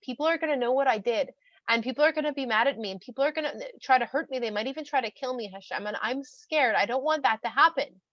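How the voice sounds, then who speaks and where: A woman's voice: high voice, quick pace, no echo, muffled recording, natural voice. A woman voicing Cain, a resentful young man who whines, gets defensive and pleads. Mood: scared, pleading. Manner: whiny, defensive, rising to near tears.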